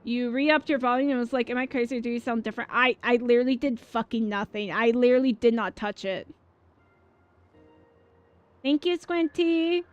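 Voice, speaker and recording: A woman streaming a game, chatting casually with viewers, excitable and swearing freely. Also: fairly high pitch, normal speed, no echo. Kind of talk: casual livestream chat over gameplay. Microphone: dynamic mic. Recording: dull mic with faint game music.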